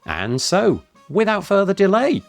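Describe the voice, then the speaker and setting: A male podcast host with a lively, swooping delivery and a fairly high voice. He reads his introduction with plenty of movement in pitch, and faint music sits underneath. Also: measured pace, creaky, no echo. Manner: lively, rising and falling delivery. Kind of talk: scripted podcast intro and interview.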